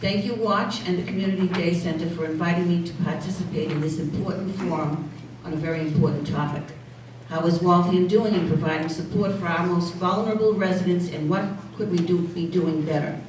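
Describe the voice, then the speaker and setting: An older woman giving a formal, measured prepared candidate speech at a public forum. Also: on the low side, steady tone, darkish tone. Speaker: city councilor seeking re-election. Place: large indoor public forum room. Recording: echoey hall, distant mic, faint noise.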